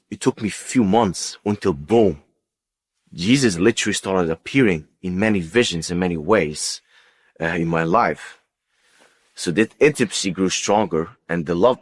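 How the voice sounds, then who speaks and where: Deep-voiced man giving personal testimony. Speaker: guest sharing testimony. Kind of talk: personal religious testimony, casual storytelling.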